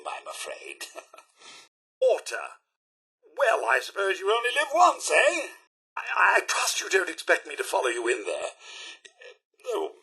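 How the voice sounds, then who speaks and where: An actor playing a fussy, chipper robot butler in a video game, with a British accent and a polite, pompous, theatrical manner. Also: male voice, on the high side, vocal fry, sharp tone, husky, heavily acted. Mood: eager, dutiful. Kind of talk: video game character voice lines.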